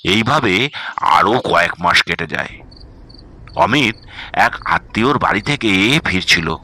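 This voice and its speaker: A man's voice: low-pitched, expressive, a little slow, pushed and bright. A man narrating a scripted horror story as its storyteller.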